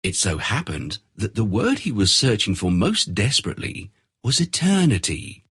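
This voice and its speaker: A man reading a scripted fairy tale as storyteller, in a dramatic, expressive manner. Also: fairly low pitch, moderate pace, slightly rough.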